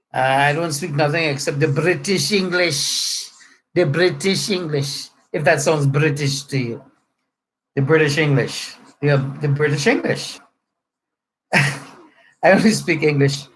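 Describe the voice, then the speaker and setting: Middle-aged Trinidadian man, slightly high voice. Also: fairly bright tone, a little hiss or hum, rough recording. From Trinidad and Tobago. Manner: rambling, joking, spells out words to clarify. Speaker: pastor. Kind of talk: casual chat to online viewers. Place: a room at home.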